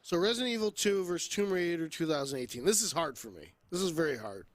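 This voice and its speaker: A man's voice: fairly high pitch, animated, fast talker, dry recording. A man hosting a podcast, talking in a casual, conversational style. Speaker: podcast host. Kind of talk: casual chat.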